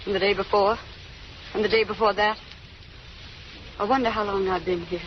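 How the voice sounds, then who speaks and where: A woman's voice: medium pitch, steady tone, moderate pace, close to an everyday voice. An actress playing an anguished, naive young convicted woman, earnest and faltering, in old radio drama style. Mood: bitter weariness. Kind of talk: 1930s-40s radio drama acting. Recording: muffled, noisy old radio recording.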